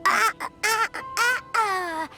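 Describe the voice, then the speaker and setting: An actress playing an excitable, playful little child in a video game. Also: female voice, extremely high pitch, takes it slowly, heavily acted. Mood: excited. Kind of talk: English video game voice acting. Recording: music under the voice.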